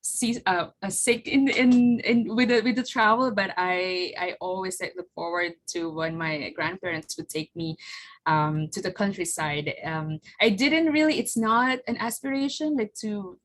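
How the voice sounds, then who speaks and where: A woman's voice: medium pitch, normal speed, slight background noise. Woman, forties, from the Philippines, Tagalog first language, casual presentation, hesitant with many fillers, video call. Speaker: guest speaker presenting her flower farm.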